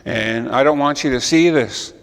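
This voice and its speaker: A man's voice: mid-range voice, moderate pace, big-room sound, natural voice, poor audio. A man voicing a kindly male creator spirit who speaks reassuringly to the animals in a told folk story. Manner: gentle, reassuring, commanding.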